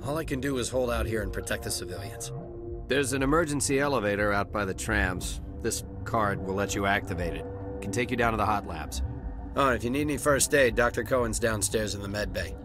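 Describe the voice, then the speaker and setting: A gruff, battle-weary security captain played by a man in a video game, with a fairly low voice. He talks plainly with a wry, folksy edge over clearly audible music. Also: fairly quick, natural voice. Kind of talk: scripted video game dialogue.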